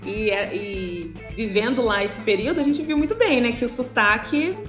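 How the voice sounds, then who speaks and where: A woman's voice: a little high, normal speed, roomy sound, soft background music. A woman with a Brazilian Portuguese accent, a guest on a podcast, telling anecdotes casually with frequent tag questions. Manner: warm storytelling with tag questions. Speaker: English teacher and podcast guest. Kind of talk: casual interview chat.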